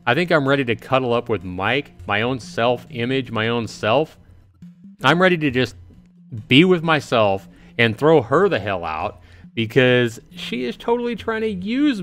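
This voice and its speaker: Excitable guy streaming a visual novel, voice goes up and down a lot, chatting to viewers, music in the back. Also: high-pitched. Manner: animated, exclamatory, talks directly to viewers. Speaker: livestream host playing a visual novel. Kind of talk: casual livestream game commentary, reading dialogue aloud.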